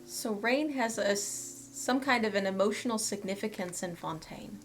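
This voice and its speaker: A woman's voice: mid-range voice, normal speed, faint music behind. A woman game streamer giving casual live reactions and reading dialogue aloud.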